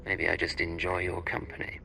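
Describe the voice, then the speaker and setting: An actor playing a cool, confident secret agent in a video game, terse and smoothly self-assured. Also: male voice, deep voice, monotone, brisk, pushed and bright, slight background noise, exaggerated voice, low-quality recording. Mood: flirtatious.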